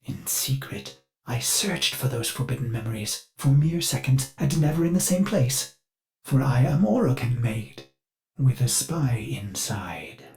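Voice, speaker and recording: A man's voice: mid-range voice, big pitch swings, creaky, a little mellow, soft background music. A man voicing an anxious, devoted ship AI servant for a video game, formal and theatrical, with a brooding streak beneath the politeness. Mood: brooding, confessional. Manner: formal, theatrical, measured, fretful. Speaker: ship's AI assistant. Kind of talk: scripted video game dialogue.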